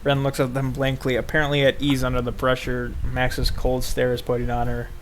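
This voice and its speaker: A man reading visual novel narration aloud unrehearsed, halting and stumbling over the text now and then. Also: medium pitch, monotone, slight background noise. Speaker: narrator in a group playthrough.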